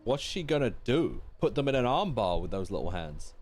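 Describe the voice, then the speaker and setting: A young man giving casual, slangy live commentary as a game streamer, in an animated way. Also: medium pitch, fairly quick, music in the background.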